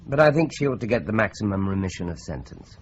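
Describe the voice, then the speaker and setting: Low, measured detective, animated phrasing, muffled radio-play sound. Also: male voice, slight background noise. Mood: explaining. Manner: measured and explanatory. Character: calm, measured senior male detective.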